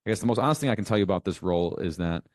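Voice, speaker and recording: A man's voice: low-pitched, animated, rapid-fire, a little mellow, dry recording, studio quality. A man hosting a business coaching show, talking informally and instructively in a blunt, emphatic, casually profane manner.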